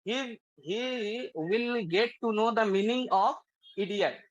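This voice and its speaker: A man from India who speaks as his language, practicing English with a tutor in earnest, halting sentences. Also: high voice, no room sound, lo-fi sound. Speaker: English learner.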